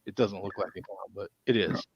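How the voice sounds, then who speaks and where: A man's voice: on the low side, steady tone, a little fast, breathy edge, dry recording, lo-fi sound. A man hosting a casual chat show in a folksy manner, often repeating himself as he talks. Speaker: show host.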